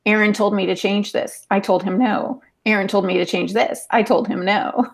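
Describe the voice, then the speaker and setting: A woman's voice: mid-range voice, fairly even intonation, normal speed, gravelly. A woman speaking as a podcast panelist in a casual, conversational style. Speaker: podcast panelist, aspiring novelist. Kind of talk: casual chat.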